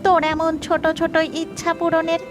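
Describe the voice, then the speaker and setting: Protective spirit mother played by a woman: tender, very high, music underneath. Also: monotone, brisk. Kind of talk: cartoon voice acting. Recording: music behind the voice.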